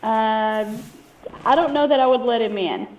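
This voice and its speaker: A woman's voice: on the high side, unhurried, over music. A woman working as a customer service agent, chatting and taking details over the telephone. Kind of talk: phone order-taking chat. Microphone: heard over a telephone call. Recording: dull, roomy, distant phone call with noise.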